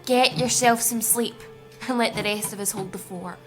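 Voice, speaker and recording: A bossy, protective hospital colleague played by a woman in an audio drama. The voice is high-pitched, firm and scolding, with faint music behind it. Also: steady tone, moderate pace, fairly bright tone, lightly acted. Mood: firm, caring. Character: stern, bossy, protective female medical colleague.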